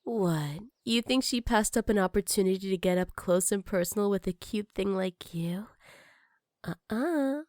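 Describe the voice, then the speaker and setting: A woman's voice: medium pitch, animated, normal speed, a little mellow, dry recording, studio quality, close to an everyday voice. A woman playing a jealous, flirty female villain in an intimate ASMR roleplay, speaking breathily and teasingly and often slipping into whispers. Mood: jealous, possessive.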